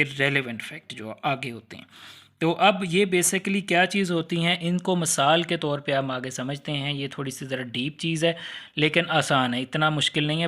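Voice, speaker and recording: Higher-pitched Pakistani man lecturing. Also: fairly bright tone. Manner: conversational, explains by rephrasing and posing questions. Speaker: law lecturer. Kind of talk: explanatory lecture with examples.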